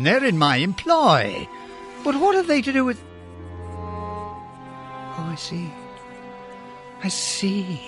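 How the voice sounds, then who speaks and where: An actor playing a frightened, pleading old miser in a radio drama, halting and theatrical. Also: male voice, a little high. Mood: horrified. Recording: echoey reverberant hall with loud music.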